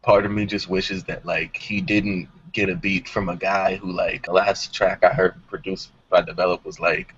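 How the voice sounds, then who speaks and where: A man's voice: low voice, level tone, normal speed, few pauses, fairly bright tone, a little hiss or hum. A man hosting a music discussion show, talking casually with his panel. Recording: dull audio with music behind.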